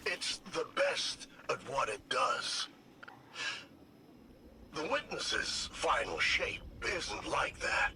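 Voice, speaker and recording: An actor playing a small machine companion in a video game, a misfit devotee who muses in a plain, confiding way. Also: male voice, on the high side, huge pitch swings, slow pace, gravelly, exaggerated voice. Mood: musing. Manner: musing, plain-spoken, confiding. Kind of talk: video game character monologue. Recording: loud ambient noise behind the voice. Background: loud ambience.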